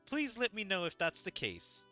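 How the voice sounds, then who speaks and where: A man's voice: high voice, huge pitch swings, moderate pace, bright, forceful tone, faint music behind, exaggerated voice. A man voicing a friendly, easygoing young slime leader who talks in an informal, considerate way.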